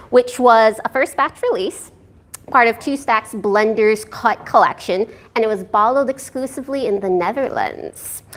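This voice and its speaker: A woman with an American accent co-hosting a whiskey tasting night, briskly reading the lineup from a clipboard. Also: fairly high pitch, a bit bright. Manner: brisk, reads a list from a clipboard. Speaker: whiskey society co-host.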